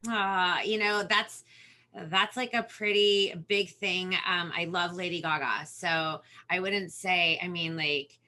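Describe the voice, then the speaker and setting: A bubbly young woman with a California Valley Girl accent, chatting as a podcast guest. Her voice is bright and forward, and she laughs often, fitting in "like" all over. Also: lowish voice, steady tone, slow. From Los Angeles, California. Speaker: children's singer, guest. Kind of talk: casual interview chat.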